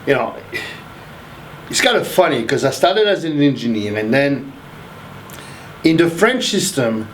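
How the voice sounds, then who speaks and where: This older man from Haiti talks in a loose, rambling way, circling back and restarting his sentences. His pitch sits at a middling level. Faint noise hums behind him. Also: very animated, measured pace, pauses often, poor audio. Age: 60s. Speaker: interviewee, math professor. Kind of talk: casual interview.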